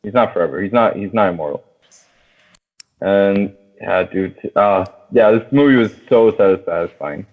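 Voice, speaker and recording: A low-voiced man chats casually as a podcast host, slangy and sweary with an excitable manner. The sound is narrow and tinny, like a phone line. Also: moderate pace. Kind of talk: casual movie discussion. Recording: thin phone-like band-limited sound.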